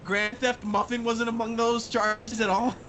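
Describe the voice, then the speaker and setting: A man's voice: very high-pitched, level tone, unhurried, sharp tone, a little hiss or hum. A man as a livestream guest, reacting in casual, sweary chat.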